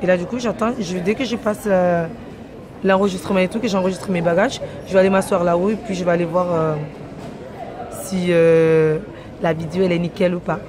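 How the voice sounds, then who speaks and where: A woman's voice: lowish voice, steady tone, a little fast, crowd noise. A young woman chatting to her phone camera as a travel vlogger, rambling through her day at an airport and on a plane. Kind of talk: casual vlog chat to camera. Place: airport terminal and plane cabin, indoors.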